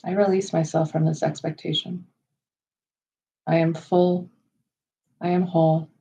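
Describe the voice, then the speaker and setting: A woman leading a guided meditation in a slow, soothing style, with measured, unhurried phrases and pauses. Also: low voice, flat delivery, dark tone, slightly rough.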